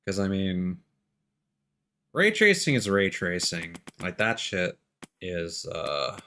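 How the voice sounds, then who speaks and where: A man giving casual live commentary as he plays a game, thinking out loud and swearing. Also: sits low, very animated, slow, slight background noise. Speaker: game streamer.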